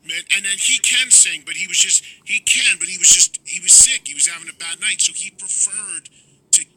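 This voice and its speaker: A man's voice: high voice, normal speed, pushed and bright, breathy edge. A man hosting a radio interview, talkative and emphatic, telling stories at length. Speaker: radio host.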